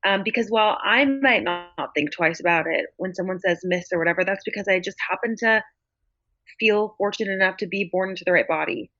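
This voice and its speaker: A woman's voice: mid-range voice, fairly quick, bright, forceful tone, no echo, soft background music. A woman on a podcast talks in an earnest, reflective way, often starting with "I feel like." Her voice sits in an ordinary middle range. The audio is dull and lo-fi, and dry. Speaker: podcast co-host. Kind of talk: casual chat.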